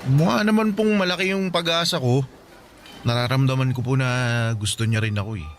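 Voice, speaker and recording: A man's voice: medium pitch, moderate pace, a little hiss or hum, close to an everyday voice. Respectful, lovestruck young son, played by a man. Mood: earnest, smitten. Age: young adult. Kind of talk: naturalistic radio drama acting.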